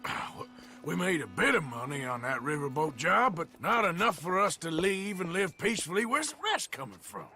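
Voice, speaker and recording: A man voicing a gruff, dry-witted middle-aged outlaw gunman with a laconic drawl. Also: on the high side, big pitch swings, moderate pace, bright, forceful tone, soft background music, full character voice.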